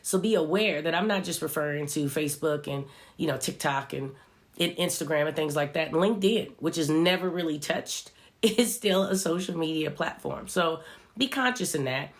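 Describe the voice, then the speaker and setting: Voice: medium pitch, normal speed. A vlogger with a stuffy, congested voice, giving an earnest, reflective motivational talk straight to viewers. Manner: earnest, speaks straight to viewers, fillers like "right" and "you know". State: stuffy from a cold. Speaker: social media vlogger.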